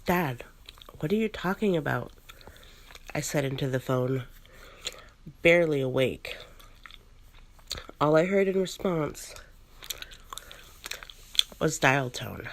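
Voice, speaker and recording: A woman chewing gum as she narrates a first-person ghost story in an ASMR style, pausing between short phrases. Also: sits low, expressive, a little hiss or hum. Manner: chews gum while narrating.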